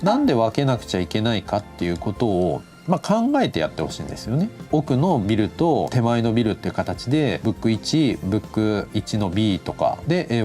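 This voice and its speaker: Animated low-voiced man teaching. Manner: thinks aloud, step-by-step explaining. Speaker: animation director giving a lecture. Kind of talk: instructional explanation, casual polite talk. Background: background music.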